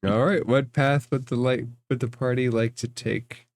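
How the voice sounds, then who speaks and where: A man's voice: medium pitch, fairly even intonation, moderate pace, no echo. A man acting as game master, narrating a fantasy roleplaying game in formal phrases and putting questions to the players.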